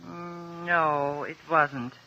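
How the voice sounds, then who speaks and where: An actress with an American accent playing a nervous, earnest courtroom witness in a 1950s radio drama, hesitant and stammering. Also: female voice, low voice, steady tone, extremely slow, sharp tone, full character voice. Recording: muffled old 1950s radio recording.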